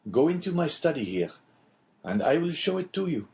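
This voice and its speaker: A man's voice: a little high, sing-song, normal speed, a little mellow, pitched above the performer's own voice. An audiobook character, played by a male narrator: a talkative, good-humoured French prison inspector. He speaks in a raised, emphatic voice and is eager to oblige. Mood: obliging, emphatic.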